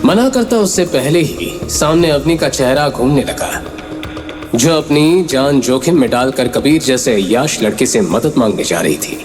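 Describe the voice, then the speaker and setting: A man's voice: medium pitch, animated, normal speed, music in the background. A man narrating a scripted audio drama story in a dramatic storytelling style.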